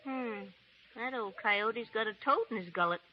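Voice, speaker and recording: An actress playing a rowdy, tough frontier woman with rough slang, in a 1930s radio play. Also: fairly high pitch, moderate pace, a little hiss or hum, natural voice. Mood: folksy. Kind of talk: 1930s radio drama acting. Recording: dull old radio recording.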